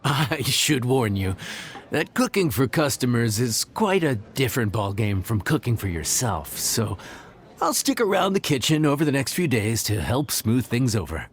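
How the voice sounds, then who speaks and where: An actor playing a proud, stubborn inn chef in a video game, flustered and defensive, with hesitant stammers. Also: male voice, medium pitch, sing-song, normal speed, natural voice. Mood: reluctant. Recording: music playing behind the voice.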